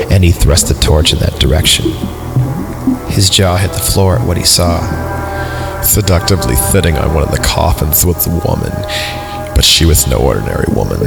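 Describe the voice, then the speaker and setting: A man's voice: sits low, creaky. A man hosting a podcast, reading a horror story aloud. He reads the punctuation out, stumbles over lines and breaks off into joking asides. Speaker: podcast host.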